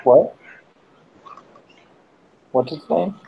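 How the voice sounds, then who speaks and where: A man's voice: a little high, drawn-out words, gravelly, muffled recording, background noise. A man hosting a casual voice chat stream, teasing his friends and swearing a lot.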